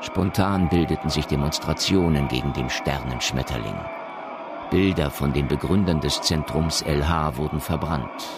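A man's voice: a bass voice, normal speed. An actor narrating a science fiction radio drama as a composed male storyteller, reading scripted prose. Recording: studio narration with faint music. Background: faint music.

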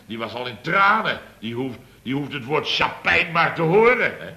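Sharp, blustering boss. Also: male voice, medium pitch, sing-song, normal speed, reverberant, heavily acted. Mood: exasperated. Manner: emphatic storyteller, repeats himself, sardonic. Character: blustering, exasperated male boss of a small building firm. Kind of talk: 1970s radio comedy acting. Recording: noisy vintage radio recording.

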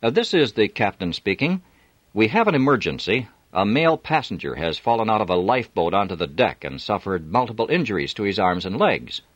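A man voicing a gruff, formal middle-aged ship's captain in an audiobook. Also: on the low side, very animated, a little fast, fairly bright tone, dry recording, voice pushed up.

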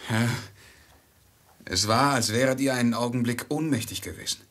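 A husky male voice of medium pitch from an actor plays a devoted caravan servant in a radio drama. He is deferential and a little anxious, with a coaxing, lyrical way of speaking. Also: normal speed, fairly bright tone, lightly acted. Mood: concerned. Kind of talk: German radio play acting.

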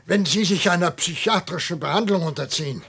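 An actor playing an authoritative, formally spoken professor in a German radio play, reasoning and easily indignant. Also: male voice, a little high, fairly quick, gravelly, a bit bright, breathy edge, exaggerated voice. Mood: alarmed, pleading.

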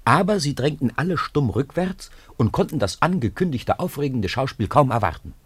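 A man's voice: mid-range voice, sing-song, normal speed, breathy edge, no room sound. A man narrating a scripted story for a radio play.

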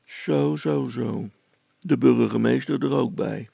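A man playing a bold, gruff man in a story, scolding a boy in a blunt, dismissive, colloquial way. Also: mid-range voice, slow pace, mellow, dry recording, exaggerated voice. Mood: wary, probing.

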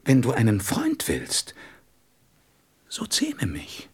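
An actor playing a gentle, wise and wistful fox in a radio play, speaking tenderly and deliberately and often dropping into whispers. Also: male voice, mid-range voice, exaggerated voice. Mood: gently pleading. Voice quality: husky, breathy voice that drops into whispers. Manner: deliberate, expressive, tender storybook delivery.

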